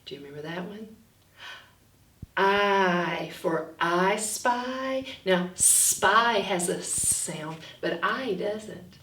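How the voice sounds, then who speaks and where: A woman's voice: mid-range voice, a little hiss or hum. Woman teaching phonics, echoey and distant. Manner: expressive, instructional, sounds out words slowly. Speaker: phonics teacher reading aloud. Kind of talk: children's story reading and phonics lesson. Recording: roomy echo, distant from mic.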